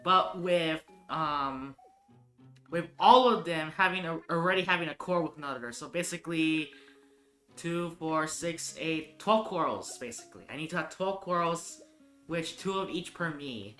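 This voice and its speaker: Bright-voiced, rambling live streamer. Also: medium pitch, a little slow, echoey room, faint music behind. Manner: rambling, thinks aloud, frequent restarts. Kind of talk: casual live-stream chat while gaming.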